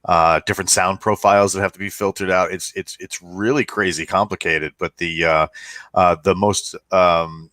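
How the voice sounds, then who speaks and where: A male podcast co-host with an American accent and a very low but bright voice. He explains in casual, halting phrases, recorded close and dry with no room sound. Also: steady tone, dry recording.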